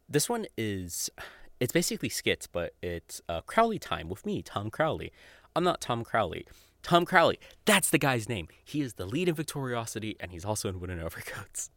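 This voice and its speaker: A man's voice: low voice, fairly bright tone, dry recording. A man hosting a podcast, rambling casually and enthusiastically through recommendations, informal and sweary, often with a chuckle in his speech. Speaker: podcast host. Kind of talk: casual rambling monologue recommending audio dramas.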